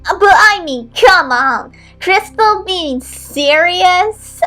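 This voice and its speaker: A woman's voice: very high voice, animated, drawn-out words, bright, forceful tone, faint music behind, pitched above the performer's own voice, heavily acted. A woman voicing a mocking, exuberant female pony in a cartoon story reading. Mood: mocking amusement.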